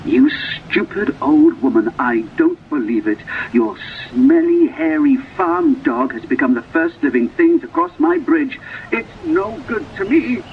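A man's voice: on the high side, huge pitch swings, unhurried, voice pushed up, heavily acted. A man voicing a scheming, theatrical devil in a storybook folk tale. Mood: screeching outrage. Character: scheming devil, smooth then screeching in outrage. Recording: tinny phone-like distant recording, faint noise.

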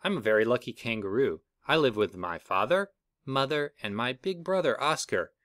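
Male reader, animated storybook delivery, dry home recording. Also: normal speed, no echo. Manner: lively storybook reading with playful pitch jumps. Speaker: reader of a children's book. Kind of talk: reading a picture book aloud.

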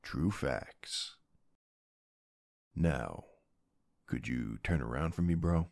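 Man playing a gravel-voiced drawling gym bro. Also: very deep voice, lively intonation, very slow, darkish tone, dry recording, clean studio sound, exaggerated voice. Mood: teasing. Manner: drawling, teasing, easygoing. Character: flirty laid-back adult male gym bro. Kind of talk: ASMR roleplay.

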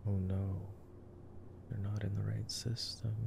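A man playing a gentle, nerdy boyfriend in an ASMR sleep-aid roleplay, chatting softly and playfully about a film. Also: on the low side, little pitch movement, measured pace, creaky, a little hiss or hum. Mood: playful, amused.

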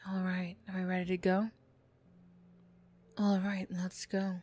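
Woman acting a slow, flustered young former bully. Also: on the low side, completely flat delivery, a little mellow. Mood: grudging, soft. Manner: stammering, bashful, snappy. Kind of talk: ASMR roleplay acting.

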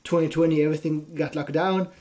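A man's voice: fairly high pitch, fairly even intonation, fast, mellow. A young man in his twenties from Israel with an Israeli accent, a personal trainer telling his story in a casual interview, earnest and often tagging his sentences with "right?". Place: small room at home. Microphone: headset.

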